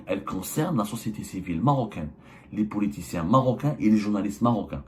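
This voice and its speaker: Emphatic man with a lively voice. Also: lowish voice. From Algeria. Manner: emphatic, piles up rhetorical questions; hesitant fillers and restarts. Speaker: journalist and channel host. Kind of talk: political commentary monologue.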